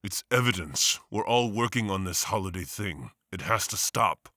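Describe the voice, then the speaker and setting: A man's voice: fairly low pitch, expressive, moderate pace, bright, forceful tone, dry recording, clean studio sound. A man voicing a stressed district attorney who answers curtly and defensively. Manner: curt and defensive.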